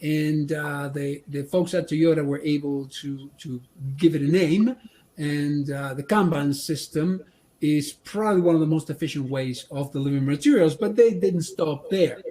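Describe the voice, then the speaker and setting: A man's voice: a little high, mellow. A man from Argentina, giving a training presentation on manufacturing, often restarting his sentences. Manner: halting, many restarts and fillers.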